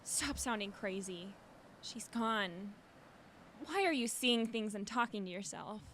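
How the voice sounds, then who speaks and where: Woman acting sardonic teenage girl, bright sharp voice, grieving, introspective, audio drama, background noise. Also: mid-range voice, a little slow, lightly acted. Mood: sad, self-reproaching. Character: grieving teenage girl, withdrawn, sardonic, missing her mother.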